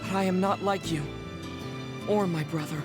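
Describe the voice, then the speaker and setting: A man voicing a reserved young male soldier who hides a secret, speaking formally and softly. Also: sits high, fairly even intonation, normal speed, lightly acted. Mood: worried, formal. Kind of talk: game cutscene dialogue. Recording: game audio with music behind.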